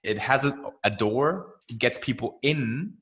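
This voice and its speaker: A young man from Austria whose first language is German, speaking as a podcast guest in a chatty, energetic way and often tagging "right?" onto his points. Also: medium pitch, measured pace. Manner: energetic, chatty, frequent "right?" tags and laughs. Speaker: guest, marketing founder. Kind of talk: casual podcast interview.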